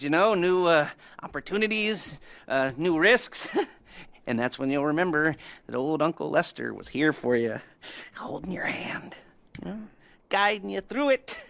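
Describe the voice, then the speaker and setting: A sly middle-aged heist boss in a game cutscene, giggling through his pauses and dropping leering innuendo. His voice is bright and jumps around in pitch. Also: sing-song, vocal fry, full character voice. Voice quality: giggling, rambling with many pauses. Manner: creepy innuendo, teasing. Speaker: heist mission giver. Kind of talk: video game cutscene dialogue.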